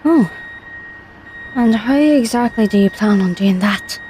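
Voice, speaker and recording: A woman playing a cautious, reluctant space pilot in an audio drama, with an earnest, measured delivery. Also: on the high side, animated, mellow, slight background noise. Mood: questioning.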